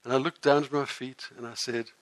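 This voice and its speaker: A man voicing a proud, sharp-tongued Samaritan woman in a dramatic first-person monologue, told in an animated, theatrical way. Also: medium pitch, fairly quick, close to an everyday voice, rough recording. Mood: ashamed.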